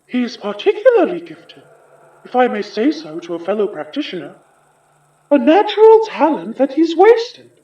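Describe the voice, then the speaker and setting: A malevolent female spirit with a high voice that swoops theatrically. She speaks with slow, condescending courtesy and taunts her prey. The sound is distant and echoey, as in a horror audio drama. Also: huge pitch swings, dark tone, highs cut off. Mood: mocking. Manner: theatrical, taunting, polite menace. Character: possessing spirit, a polished, menacing, condescending sorceress.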